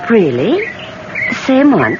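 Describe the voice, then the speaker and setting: A woman's voice: fairly high pitch, wildly swooping pitch, takes it slowly, exaggerated voice. A woman playing a teasing, imperious young fiancée in a 1970s radio drama, with theatrical delivery. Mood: coy. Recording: muffled, noisy 1970s radio broadcast recording.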